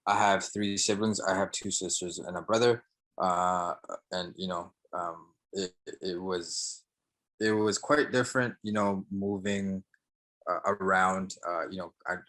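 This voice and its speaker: A young man with a very low voice and a bright, forceful edge to it. He answers interview questions casually, pausing often with fillers and restarting his sentences. He grew up after leaving Sri Lanka as a child. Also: poor audio. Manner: halting, many fillers and restarts. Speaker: guest, barber and entrepreneur.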